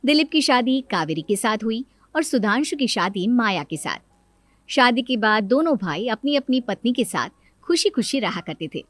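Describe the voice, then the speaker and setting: A woman's voice: fairly high pitch, sing-song, normal speed, no room sound. A woman narrating a scripted children's story, with music behind her. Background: background music.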